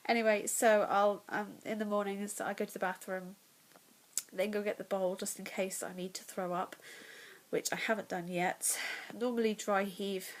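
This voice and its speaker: A woman's voice: mid-range voice, level tone, normal speed, low-quality recording. A young English woman vlogging casually about her pregnancy, congested and nasal, chatty and candid, often dropping to a whisper, at home in the evening. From England.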